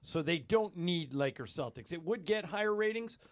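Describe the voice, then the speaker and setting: Male sports analyst, slightly higher register, matter-of-fact explainer, very dry recording. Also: animated, normal speed, no room sound. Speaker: sports broadcaster.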